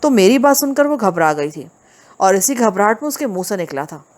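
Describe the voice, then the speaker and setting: A woman's voice: mid-range voice, big pitch swings, brisk, a little mellow. Woman narrating a crime audiobook. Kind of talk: scripted narration of a crime novel.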